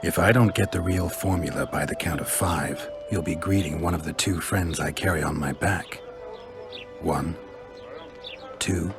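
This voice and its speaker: An actor voicing a stoic, blunt monster hunter for hire in a fantasy game, terse and dry in delivery. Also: male voice, low-pitched, measured pace, over music. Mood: cool, firm. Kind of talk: fantasy video game acting.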